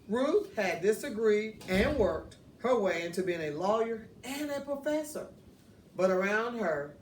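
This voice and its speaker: A woman's voice: mid-range voice, darkish tone. Woman reading a picture book, roomy distant sound. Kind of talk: children's read-aloud narration. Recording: roomy, distant mic, faint noise.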